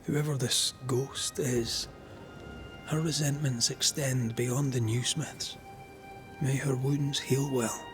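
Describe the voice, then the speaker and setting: An actor plays a gentle, devoted man who hunts ghosts in a dramatic video game. He sounds thoughtful and earnest, with a measured, middle-pitched voice. Clear music runs underneath. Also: fairly even intonation, lightly acted. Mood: pensive.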